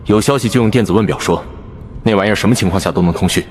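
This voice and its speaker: A man playing a domineering, foul-mouthed veteran survivor boss in animated drama, blunt and bullying. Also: lowish voice, racing, slight background noise, lightly acted. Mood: commanding.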